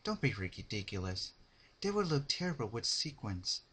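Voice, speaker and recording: A man's voice: medium pitch, sing-song, moderate pace, pushed and bright, husky, heavily acted. A man voicing a dreamy, refined and dramatic female fashionista pony in a fan fiction reading. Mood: haughty dismissal.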